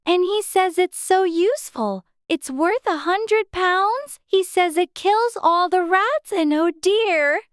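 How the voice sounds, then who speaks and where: A woman's voice: extremely high pitch, animated, takes it slowly, hardly any pauses, no room sound, studio quality, pitched above the performer's own voice, exaggerated voice. A woman voicing a polite, eager little girl with an earnest, childlike manner in a dramatized audiobook. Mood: eager, chatty. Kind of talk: dramatized audiobook character dialogue.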